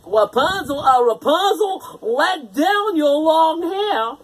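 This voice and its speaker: Gallant storybook prince, put on by a narrator: raised pitch, earnest, smitten, declaiming in long drawn-out phrases. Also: animated, a little hiss or hum. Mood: calling out.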